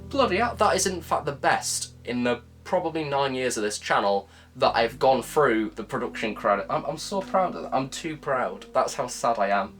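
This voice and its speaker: Male reviewer, medium pitch, enthusiastic and chatty, scripted delivery with emphatic stresses and self-mocking asides. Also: lively intonation, normal speed, few pauses, fairly bright tone, faint music behind. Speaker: review channel host.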